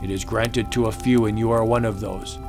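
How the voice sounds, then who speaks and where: A man's voice: lowish voice, fairly even intonation, moderate pace, over music, lightly acted. A man voicing a proud, mighty witch doctor with stilted, declamatory ritual speech in a comic book audiobook. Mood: grave, approving.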